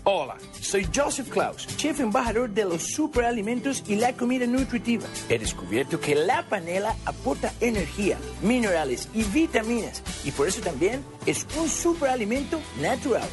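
Upbeat chef in a radio ad over music. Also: male voice, high-pitched, very animated, normal speed, few pauses. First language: not Spanish. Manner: upbeat, promotional. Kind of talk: radio advertisement.

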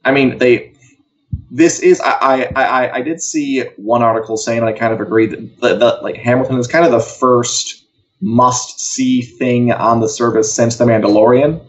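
A man's voice: mid-range voice, fairly even intonation, normal speed. A man co-hosting a casual entertainment live show, talking in a wry, emphatic way. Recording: dull, lo-fi audio.

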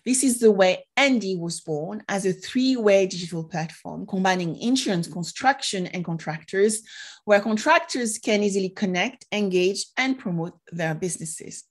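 A woman hosts an interview podcast with a voice of medium pitch. She delivers prepared introductions and open questions to her guest. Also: lively intonation, moderate pace.

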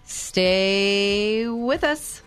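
A woman with a Texan accent hosting a radio talk show, chatty and quick to laugh. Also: medium pitch, over music. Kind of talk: casual radio chat.

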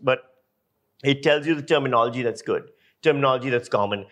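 A man's voice: medium pitch, fairly bright tone. Emphatic male journalism lecturer from Pakistan. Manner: emphatic, explanatory, with rhetorical questions. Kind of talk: informal instructional talk.

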